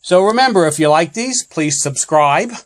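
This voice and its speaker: A man's voice: a little high, expressive, normal speed, no room sound. A man giving a plainspoken, informal walkthrough as a product reviewer.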